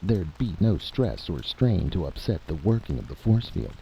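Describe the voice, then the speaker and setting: A man's voice: sits low, sing-song, moderate pace, vocal fry. A man narrating a scripted story in a dramatic style. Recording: dull lo-fi recording with noise.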